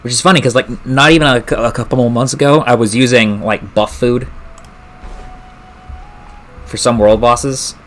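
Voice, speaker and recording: A man's voice: medium pitch, steady tone, moderate pace, pauses often, fairly bright tone, faint music behind. A man chatting casually and informally as he live streams a game, swearing mildly. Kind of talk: casual gaming stream chat. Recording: clearly noisy stream audio.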